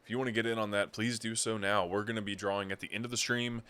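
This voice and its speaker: Male stream co-host, lowish voice with a bright edge, relaxed and chatty. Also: fast talker, clean tone. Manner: relaxed, explains giveaway details with fillers. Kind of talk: casual livestream chat.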